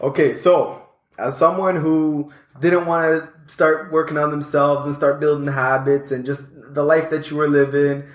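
A man's voice: a little high, level tone, moderate pace, echoey room. A man hosting a casual podcast interview, chatty and upbeat with slangy asides. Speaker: podcast host.

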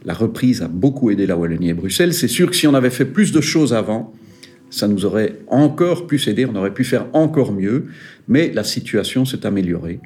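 A man answers interview questions as a regional director, weighing his words and leaning on key points. His voice sits low, with faint music in the background. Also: animated, a little mellow. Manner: measured, hesitant fillers, stressing key points. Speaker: regional director of an employers' federation, guest.

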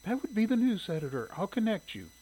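A female switchboard operator from a story, voiced by a male narrator. The voice is lifted high, friendly and polite. She handles callers in a businesslike way. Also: very animated, fast talker, mellow, husky, heavily acted, poor audio. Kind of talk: audiobook character dialogue.

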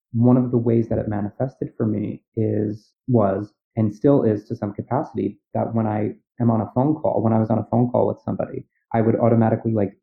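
A man co-hosting a podcast who speaks in a measured, reflective way. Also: fairly low pitch, creaky. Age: adult. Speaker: podcast co-host, therapist. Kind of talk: casual conversation. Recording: tinny phone-like audio.

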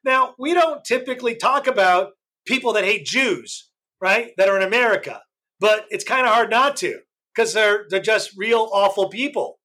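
A man's voice: high voice, animated, normal speed. A man hosting a live podcast in a casual, chatty way, saying he has a big voice. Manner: chatty, many fillers, loud self-described big voice. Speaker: host.

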